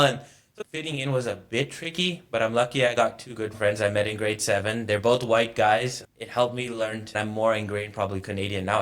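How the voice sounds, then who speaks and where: A thoughtful man in his late twenties from Malaysia, speaking in a fairly low voice. He tells his story at an easy, unhurried pace, reflecting on where he comes from. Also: fairly bright tone. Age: late 20s. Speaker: guest, mortgage advisor. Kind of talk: casual interview conversation.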